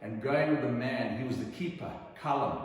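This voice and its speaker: Middle aged guy telling stories, dramatic pauses, big echoey room, bit far from the mic. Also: medium pitch. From Zimbabwe. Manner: theatrical, emphatic with dramatic pauses. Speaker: storyteller. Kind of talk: animated anecdotal storytelling. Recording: echoey hall, distant microphone.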